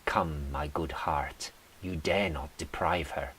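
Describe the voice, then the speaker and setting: A man with a very low voice recites poetry slowly and dramatically, each phrase measured out. A faint hiss sits behind the reading. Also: steady tone, a bit bright. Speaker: poet reading own poems.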